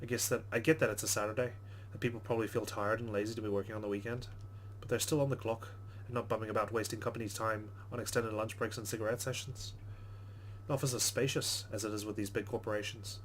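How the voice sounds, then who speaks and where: A man's voice: on the low side, fairly even intonation, quick pace, a bit bright, a little hiss or hum. A man hosting a let's play, reading game narration aloud with casual commentary.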